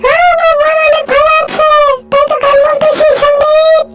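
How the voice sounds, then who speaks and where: A woman voicing a small, excitable talking cartoon animal with a sing-song, exclaiming manner in a homemade cartoon. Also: very high-pitched, moderate pace, over loud music. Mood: cheerful, proud.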